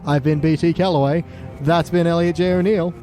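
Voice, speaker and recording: A man's voice: fairly high pitch, steady tone, a little fast, mellow, soft background music. A man hosting a podcast, chatting casually as he reviews a TV show. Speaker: podcast host.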